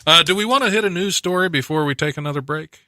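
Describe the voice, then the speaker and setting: A man's voice: on the high side, quick pace, dry recording, studio quality. A man hosting a podcast in casual chat, laughing often and reading news stories aloud. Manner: laughs often, animated and bright. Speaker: podcast host.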